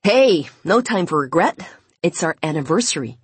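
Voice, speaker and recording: A woman's voice: on the low side, very animated, moderate pace, breathy edge, no room sound, full character voice. A woman voicing a friendly man who is casual, sincere, a little wistful and playful, in an audiobook.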